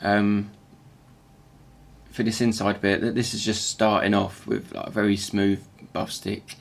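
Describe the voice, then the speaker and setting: Guy with a croaky gravelly morning voice, low and flat, stumbling and restarting as he explains his workshop craft. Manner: halting, with many repeated words and restarts. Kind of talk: casual workshop tutorial voice-over.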